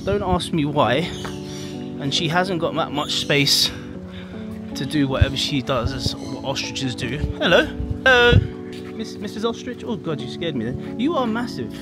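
A man's voice: fairly high pitch, lively intonation, moderate pace, over loud music. A man in his forties casually narrating a travel vlog outdoors on a handheld camera, rambling with self-deprecating asides. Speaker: vlogger. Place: outdoor cafe grounds.

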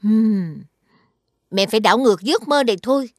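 A woman playing a caring but strict mother in an animated series. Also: a little high, moderate pace, no echo, clean studio sound, natural voice. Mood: scheming. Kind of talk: Vietnamese animated series dubbing.

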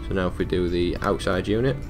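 A man presenting a technical support tutorial, talking through software steps in a casual, informal, matter-of-fact way. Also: low voice, fast, over music. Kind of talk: casual step-by-step software walkthrough.

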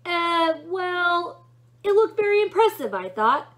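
A woman's voice: very high voice, big pitch swings, takes it slowly, voice pushed up, full character voice. A woman reading a storybook aloud, voicing an anxious, polite male angel with a fretful, prim manner. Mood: flustered, defensive. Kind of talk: storybook read-aloud character voice.

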